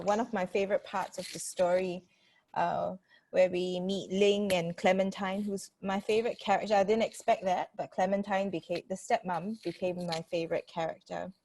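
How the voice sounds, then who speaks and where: Upbeat woman host of an online launch on a video call, mid-pitched voice. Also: steady tone, moderate pace, darkish tone, a little hiss or hum. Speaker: event host. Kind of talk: hosting an online book launch.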